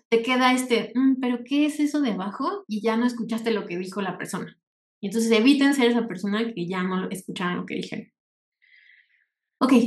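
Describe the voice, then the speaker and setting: A woman with a Mexican Spanish accent giving an informal, explanatory lecture over slides, conversational and often checking in with rhetorical questions. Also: a little high. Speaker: workshop instructor. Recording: echoey room sound.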